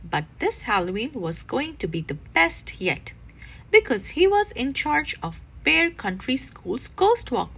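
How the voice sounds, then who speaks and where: Animated, slightly husky woman reading a storybook. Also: high voice, measured pace, low-quality recording. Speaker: children's read-aloud narrator. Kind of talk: expressive scripted storybook narration.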